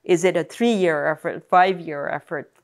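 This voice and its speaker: Woman host with a slightly low voice. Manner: measured, rephrasing questions as she goes. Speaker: podcast host. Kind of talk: interviewing.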